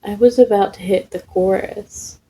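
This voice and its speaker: A woman's voice: mid-range voice, fairly even intonation, moderate pace, dark tone, close to an everyday voice, poor audio. Woman playing a mournful, whispering shadow ghost. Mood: morose. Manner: whispering, morose. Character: tall, mournful, sulky male shadow ghost.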